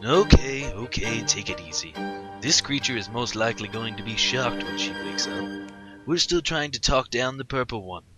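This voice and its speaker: A man voicing a calm, kindly male Christmas elf who speaks in a formal, soothing way in a story reading. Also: on the low side, normal speed, music in the background, close to an everyday voice.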